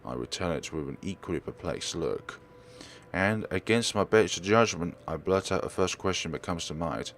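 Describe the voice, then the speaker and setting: A man reading visual novel text aloud as a let's player, expressive and occasionally stumbling over his words. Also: low-pitched, normal speed, a little hiss or hum. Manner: expressive, stumbles and corrects himself while reading.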